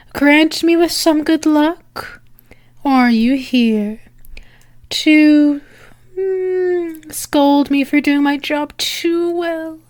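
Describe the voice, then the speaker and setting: A woman playing a flirtatious female demon in an ASMR roleplay, teasing and sultry, breathy and often slipping into a whisper, close to the microphone. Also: sits high, no room sound. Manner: sultry, teasing, drawn-out with lingering pauses.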